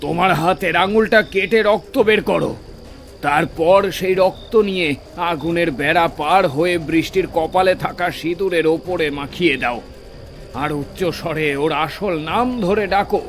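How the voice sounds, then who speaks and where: A stern tantric , played by a man, gives urgent orders in a somewhat high, gravelly voice. He speaks with commanding authority. Music plays beneath him in a horror audio drama. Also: moderate pace, close to an everyday voice. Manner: commanding, intoning mantras. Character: stern male village tantric, commanding ritual master.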